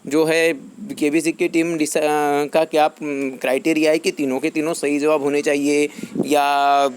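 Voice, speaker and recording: Higher-pitched man explaining to viewers. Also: little pitch movement, normal speed, a little hiss or hum. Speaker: YouTube channel host. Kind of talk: informal explainer addressed to viewers.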